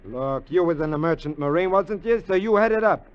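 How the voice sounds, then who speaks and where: An actor playing an eager, scheming American burglar sidekick in a 1950s radio drama, talkative, slangy and wheedling. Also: male voice, on the high side, lively intonation, brisk, clear, resonant tone, natural voice. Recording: dull vintage radio recording.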